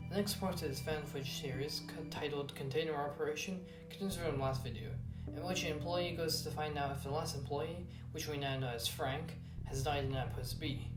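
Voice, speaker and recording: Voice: mid-range voice, far from the mic. A narrator giving informal scripted video commentary, now and then stumbling over words. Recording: roomy echo under loud guitar music. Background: loud plucked guitar music.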